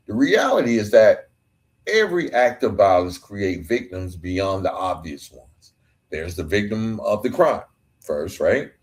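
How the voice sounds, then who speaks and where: Male podcast host, lower voice, earnest solo talk built on emphatic repeated lines. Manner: earnest, building emphatic repetitions. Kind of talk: reflective podcast monologue.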